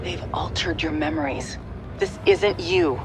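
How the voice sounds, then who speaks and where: A woman's voice: low-pitched, measured pace, music in the background. A woman playing a determined, guarded covert soldier in a video game, terse and controlled in her delivery. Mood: troubled resolve. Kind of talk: video game drama acting.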